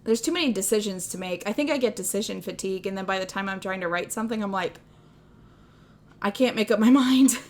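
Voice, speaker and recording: A woman's voice: medium pitch, fast, a little mellow. A young woman with a Midwestern accent, chatting casually on a livestream, rambling and self-deprecating, laughing often. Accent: Midwestern American. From American Midwest. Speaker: streamer.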